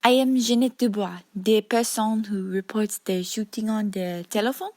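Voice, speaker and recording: An actress playing a nervous young French witness with a French accent and halting broken English in a radio detective drama. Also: female voice, medium pitch, steady tone, darkish tone, natural voice. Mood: polite, anxious. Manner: hesitant, searching for words in broken English.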